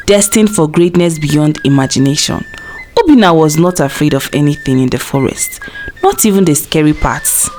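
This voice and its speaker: A woman's voice: lowish voice, darkish tone, a little hiss or hum. A woman storyteller narrating a scripted folktale in a dramatic storybook delivery.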